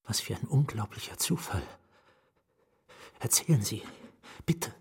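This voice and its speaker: A breathy, secretive asylum patient. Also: male voice, medium pitch, animated, measured pace, exaggerated voice. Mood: eager, hushed. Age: middle-aged. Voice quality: breathy, often hushed. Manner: conspiratorial, probing, wry. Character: secretive, wry male asylum patient, a bitter novelist. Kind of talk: German radio drama acting.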